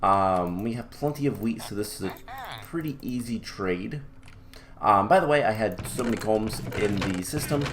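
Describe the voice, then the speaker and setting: Low-voiced man, slightly sick, chatty gameplay talk. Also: moderate pace. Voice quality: a little off from illness. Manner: relaxed and chatty with many ums. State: coming down with a cold. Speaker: gameplay commentator. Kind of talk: casual let's-play commentary.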